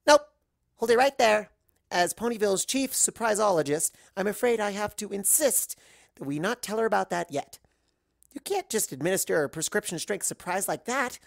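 A narrator voicing a bubbly, excitable young female pony in a playful, exclamatory manner. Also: medium pitch, moderate pace, creaky, dry recording, studio quality, pitched above the performer's own voice. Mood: insistent, playful.

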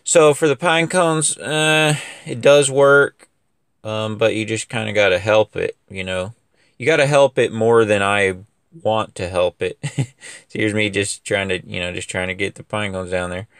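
A man's voice: lowish voice, unhurried, a bit bright, smooth, dry recording, clean studio sound. A young man running a lawn care business, chatting casually in a loose, rambling way full of fillers and restarts. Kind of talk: casual equipment review vlog. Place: outdoors in a wooded yard.

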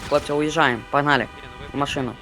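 Man, higher-pitched, bossy game squad leader, repeats commands, swears, gunfire behind. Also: fairly even intonation, fast talker, sharp tone, faint music behind. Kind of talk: in-game voice chat callouts.

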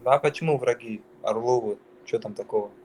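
A man's voice: medium pitch, a little fast, a little mellow, a little hiss or hum, rough recording. Hesitant young man from Dagestan. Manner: defensive, hesitant.

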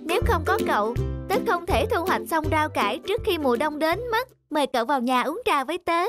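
Lady doing a sweet polite hedgehog for a kids cartoon, high voice, music playing. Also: very animated, brisk. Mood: grateful. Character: grateful gentle hedgehog recovering from illness. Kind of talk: children's cartoon voice acting.

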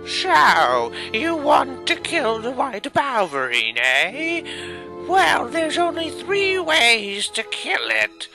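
Woman playing pushy old hag, cranky, high-pitched, wildly swooping, bossy drawl, game parody. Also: huge pitch swings, slow, over music, exaggerated voice. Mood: knowing. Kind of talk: comic dub of a fantasy video game.

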